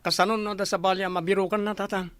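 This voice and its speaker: A man's voice: high-pitched, flat delivery, fast talker, fairly bright tone, smooth, dry recording. A man with an Ilocano accent playing a worried, questioning grown son in a radio comedy-drama. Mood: worried, reproachful.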